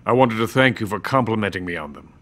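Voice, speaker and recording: An actor playing a bitter, proud, drunken older Soviet weapons scientist in a video game, with a brooding, theatrical delivery. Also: male voice, lowish voice, expressive, fast, fairly bright tone. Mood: earnest. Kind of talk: dramatic video game cutscene acting. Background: music.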